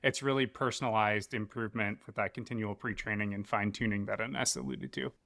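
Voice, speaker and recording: A man's voice: fairly low pitch, steady tone, a little fast, gravelly, fairly bright tone, dry recording. A man giving explanatory answers as a tech co-founder in an interview.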